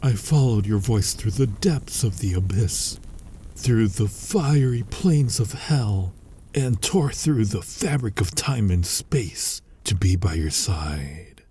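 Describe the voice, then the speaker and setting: A man playing a flirty, exasperated incubus demon in an intimate ASMR roleplay, husky and breathy with a teasing, sardonic manner, often slipping toward a whisper. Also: lowish voice, animated, warm tone, a little hiss or hum. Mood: theatrical, seductive. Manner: slow, teasing, sardonic delivery. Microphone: close, dry studio-style microphone.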